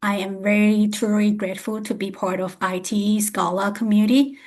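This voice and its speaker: A woman's voice: medium pitch, steady tone, a little fast, a little mellow. A woman from Thailand with a Thai accent, an international student giving an earnest prepared self-introduction. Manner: earnest and grateful, slightly non-native grammar.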